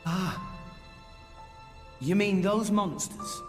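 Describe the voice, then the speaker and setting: A man's voice: high-pitched, drawn-out words. A male actor playing an earnest, troubled young hero in a video game, speaking in a hesitant, questioning way. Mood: shocked, questioning. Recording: reverberant, under loud game music.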